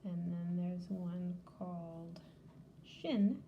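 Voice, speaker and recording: A woman's voice: lowish voice, fairly even intonation, very slow, warm tone, lo-fi sound, slight background noise. A woman hosting a children's library storytime, casually showing a craft and game step by step in simple words.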